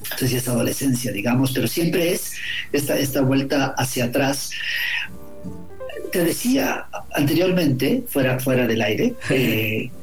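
A man's voice: medium pitch, expressive, unhurried, faint music behind. A middle-aged man from Guatemala, an author being interviewed, speaking reflectively and often repeating words as he searches for the right phrasing. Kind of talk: reflective conversation. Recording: roomy echo.

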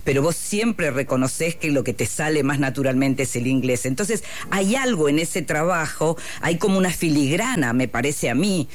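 Interviewer guy, slightly high voice, careful searching questions. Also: animated. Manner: measured, searching phrasing, comes back with "claro".

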